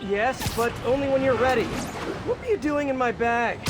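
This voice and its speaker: A man's voice: extremely high pitch, moderate pace, over music. An actor with an American accent playing a young, quippy, earnest male superhero in a video game. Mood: earnest mentoring. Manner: wry, quippy. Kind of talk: video game cutscene acting. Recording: loud vehicle and ambience noise behind.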